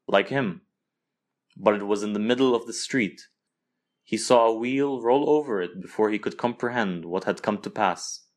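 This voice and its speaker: A man from Lebanon, an author, narrating his own literary short story from a script. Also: on the low side.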